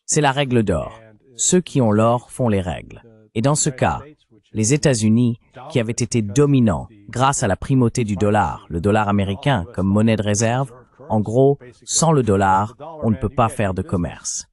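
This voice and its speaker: A man with a fairly low voice delivers political commentary as a translated voice-over. He explains things in a measured way, point by point. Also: expressive, a little mellow. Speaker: panel commentator.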